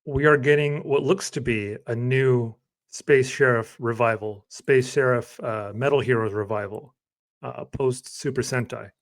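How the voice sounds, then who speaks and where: Relaxed rambling male podcast co-host. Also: mid-range voice, measured pace. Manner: relaxed, rambling, filler-heavy. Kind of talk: casual podcast chat.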